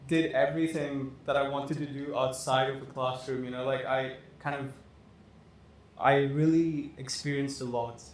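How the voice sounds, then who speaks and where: A man's voice: medium pitch, fairly even intonation, moderate pace. Rambling young man in an echoey room. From Dubai. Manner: rambling, many fillers and "like"s. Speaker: fashion designer guest. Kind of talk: casual interview talk. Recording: echoey room with faint noise.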